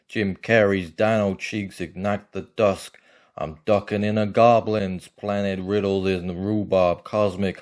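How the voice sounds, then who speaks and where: A man performing as a deadpan reciter of surreal nonsense verse. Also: sits low.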